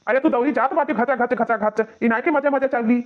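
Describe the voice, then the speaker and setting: A man's voice: very high voice, a little fast, background noise. A man voicing an exasperated young village husband in a cartoon comedy, nagging and grumbling with an Awadhi accent on muffled lo-fi audio. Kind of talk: exaggerated cartoon comedy bickering.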